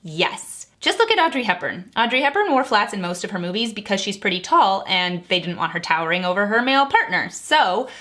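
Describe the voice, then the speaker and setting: A woman's voice: mid-range voice, sing-song, brisk, few pauses, pushed and bright. A young woman answering viewer questions to camera in an upbeat, chatty way, laughing often between answers. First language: English. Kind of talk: casual chat to camera.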